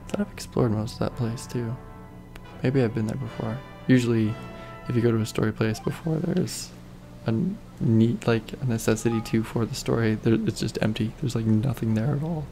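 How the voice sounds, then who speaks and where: Hoarse guy rambling over his game, gravelly and dark, game music faint behind. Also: medium pitch, normal speed. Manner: rambling, trailing off mid-thought. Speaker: game streamer. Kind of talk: casual gameplay commentary.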